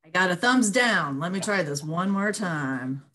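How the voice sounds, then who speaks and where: A woman's voice: on the low side, animated, a little slow, fairly bright tone. A woman hosting an online group call, presenting in an informal, conversational webinar style. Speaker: host of an online monthly call.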